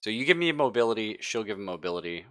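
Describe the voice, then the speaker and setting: Low-voiced man running a tabletop game. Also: very animated, fast, fairly bright tone. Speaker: game master. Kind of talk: casual tabletop game narration.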